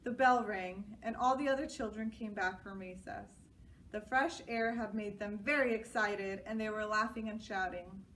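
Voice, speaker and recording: A woman's voice: medium pitch, normal speed, fairly bright tone. A woman narrating a children's storybook as a library storyteller. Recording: distant mic, clear background noise.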